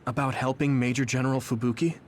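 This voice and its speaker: A man's voice: medium pitch, steady tone, quick pace, a little hiss or hum, natural voice. A man voicing an earnest, determined young platoon commander in a video game, polite and emotive. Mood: serious. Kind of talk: video game cutscene acting.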